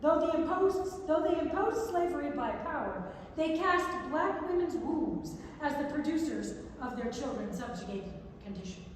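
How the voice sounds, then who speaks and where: A woman's voice: sits high, sing-song, normal speed, slight background noise. A woman giving a staged reading of non-fiction prose, recorded from far off in an echoey theatre. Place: large indoor theatre.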